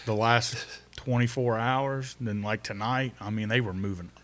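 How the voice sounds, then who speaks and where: A man's voice: mid-range voice, fairly even intonation, moderate pace, a bit bright. Laid-back man hosting a podcast. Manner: plain-spoken, laid-back, talks through conditions and plans. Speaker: podcast host. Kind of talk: casual chat.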